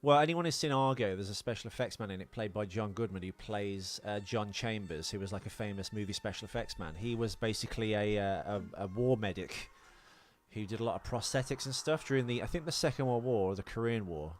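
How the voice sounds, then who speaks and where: A man's voice: fairly low pitch, brisk. A man co-hosting a casual film podcast, explaining things in a rambling, sweary way. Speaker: podcast co-host.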